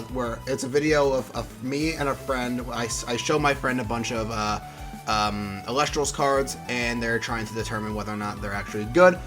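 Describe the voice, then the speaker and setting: A young man with a mild cold and a sore throat talks casually, rambling and restarting his sentences with plenty of fillers. His voice sits at an ordinary middle pitch. Faint music plays beneath him. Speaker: card game YouTuber. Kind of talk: casual deck profile vlog.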